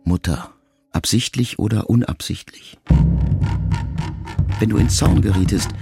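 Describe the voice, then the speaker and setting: A man reading a first-person letter as an audiobook narrator, calm and measured. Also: lowish voice, vocal fry. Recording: music bed under the voice.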